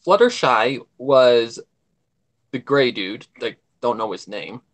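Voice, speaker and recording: A man's voice: medium pitch, lively intonation, takes it slowly, fairly bright tone. A man talking casually as a guest on a livestream, rambling and emphatic, often repeating his points. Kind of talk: casual chat.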